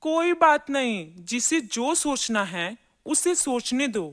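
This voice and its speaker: A woman's voice: high-pitched, very animated, slow pace, exaggerated voice. A woman voicing a firm, decisive mother-in-law in a cartoon family drama. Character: firm mother-in-law demanding peace at home.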